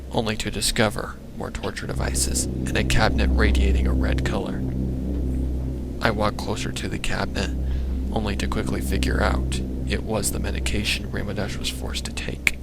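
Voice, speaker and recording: A man reading fan fiction as dramatic first-person narration of a dark story. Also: lowish voice, level tone, moderate pace, gravelly. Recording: voice under loud background music. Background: loud music.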